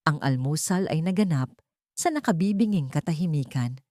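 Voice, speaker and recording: A woman's voice: sits low, lively intonation, normal speed, dark tone, no echo, clean studio sound. A woman reading a scripted dramatic story as an audiobook narrator.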